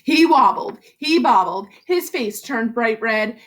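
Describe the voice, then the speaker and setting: A woman with a high voice reads a storybook aloud to children. Her delivery is expressive and theatrical, leaning into the rhymes like a practiced school reading teacher. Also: slow pace. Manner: animated, theatrical emphasis on rhymes.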